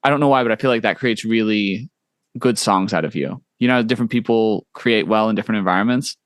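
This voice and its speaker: A young man hosting a podcast interview, talking in a relaxed, casual way, full of "like" and "you know", quick to agree and encourage. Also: lowish voice, dry recording, clean studio sound. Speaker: podcast host.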